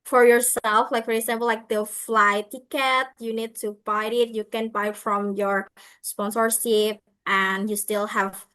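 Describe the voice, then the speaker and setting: A woman explaining things informally as an organizer in an online session, in halting non-native English. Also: a little high, flat delivery, a bit bright. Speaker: organizer answering questions.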